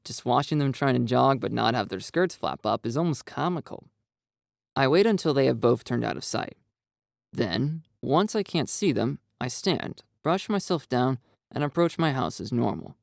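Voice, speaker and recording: Expressive, gravelly man narrating a story. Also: medium pitch, moderate pace, no echo, studio quality. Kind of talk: first-person narration of a visual novel.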